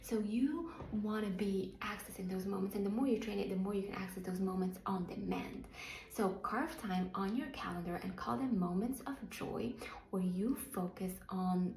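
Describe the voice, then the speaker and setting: A woman's voice: mid-range voice, moderate pace, gravelly, a little mellow. Moroccan-born woman coaching with roomy echo. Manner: earnest, heavy use of "like" fillers. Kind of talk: motivational talk to camera.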